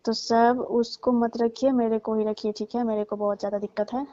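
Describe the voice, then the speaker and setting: Woman, deferential and pleading, higher-pitched, fairly even delivery, private call with muffled sound. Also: moderate pace. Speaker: domestic worker on a call with employer. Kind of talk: private phone conversation. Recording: muffled, roomy phone call recording.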